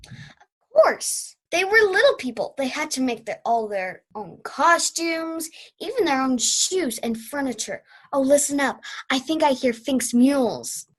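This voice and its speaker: A young woman acts out an eccentric old aunt from vaudeville days in a student radio play. The voice is high, with grand, theatrical delivery full of proud reminiscence. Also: animated, a little slow, fairly bright tone. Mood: excited, proud.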